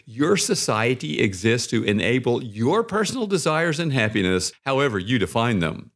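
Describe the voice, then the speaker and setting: A man narrating a scripted podcast article in a measured, articulate way. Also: medium pitch.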